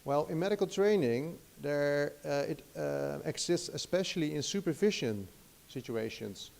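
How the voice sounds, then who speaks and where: A man's voice: a little high, expressive, moderate pace, creaky, a little mellow. A middle-aged Dutch man with a Dutch accent giving an academic lecture in English, hesitant, with many restarts and fillers. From Netherlands. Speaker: guest lecturer.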